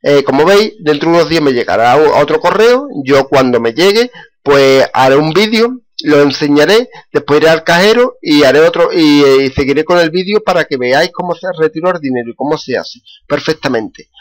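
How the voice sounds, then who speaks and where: Man, slightly high voice, unhurried tutorial narration, lots of filler sounds. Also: expressive. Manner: slow, step-by-step, many "eh" fillers. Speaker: YouTuber. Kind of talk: screen-recorded tutorial.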